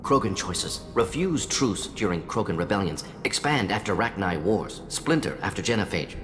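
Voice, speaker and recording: A brilliant alien doctor from a video game, with a lowish voice and clipped, telegraphic delivery. He sounds analytical and defensive, weighed down by remorse. The voice rings with long reverb over music. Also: very animated, moderate pace. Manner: clipped telegraphic phrases, dropping small words, analytical. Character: fast-thinking alien scientist doctor, brilliant, defensive, remorseful male Salarian. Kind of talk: video game cutscene acting.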